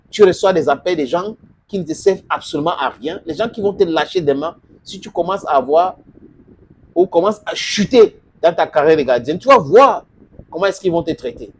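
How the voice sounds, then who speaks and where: A man's voice: high-pitched, big pitch swings, normal speed, darkish tone, slight background noise. A man, giving a football opinion monologue and scolding a player directly as if lecturing him. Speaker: sports commentator.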